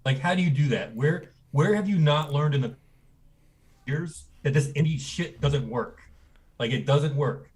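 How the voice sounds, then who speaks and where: A man's voice: on the high side, a little slow, darkish tone. A man from Texas, co-hosting a wrestling podcast, giving blunt, opinionated rants in casual banter. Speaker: podcast co-host.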